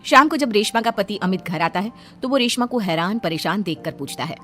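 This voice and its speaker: A woman's voice: mid-range voice, lively intonation, fairly quick, sharp tone, faint music behind. A woman narrating a scripted cartoon story.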